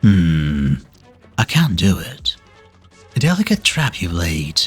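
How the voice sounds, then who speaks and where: An actor playing an ancient, weary, wry male being in a fan-dubbed game drama, speaking in a slow, reflective, breathy way. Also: low voice, huge pitch swings, full character voice. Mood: grudging admiration. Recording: background music under the voice.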